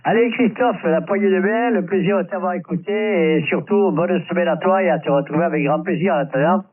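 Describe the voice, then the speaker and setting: A man's voice: high-pitched, a little fast, distant mic, narrow, tinny audio. A man chatting casually as an amateur radio operator over a band-limited shortwave transmission. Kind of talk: casual ham radio chat. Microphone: radio transceiver microphone.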